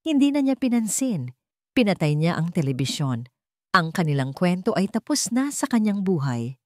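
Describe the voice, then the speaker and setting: Low-voiced woman narrating a dramatic story. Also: sing-song, moderate pace, a little mellow, no room sound, studio quality.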